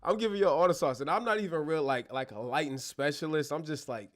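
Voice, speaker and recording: A man's voice: a little high, fast. A man hosting a casual live Q&A stream from his bedroom studio, talking straight to his chat in a slangy, joking way, on a clip-on mic. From born in Germany, American. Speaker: livestream host. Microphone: wireless clip-on mic.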